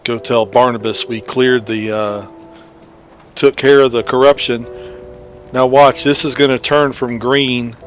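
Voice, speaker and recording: A man's voice: mid-range voice, fairly even intonation, unhurried, soft background music. A man giving casual, unscripted commentary as he hosts a gameplay video. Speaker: gaming video host.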